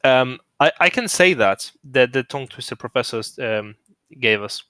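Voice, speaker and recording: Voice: medium pitch, lively intonation, moderate pace, a bit bright, no room sound. A podcast co-host chatting casually about languages, opinionated and laughing easily. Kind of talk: casual chat.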